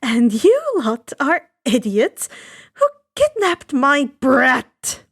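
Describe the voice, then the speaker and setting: Lady doing a loud bossy anime mom, fierce n brash, kinda high voice. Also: big pitch swings, very slow, vocal fry, full character voice. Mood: angry, savage. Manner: forceful, bossy, then gushing. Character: brash, fierce, loud middle-aged mother.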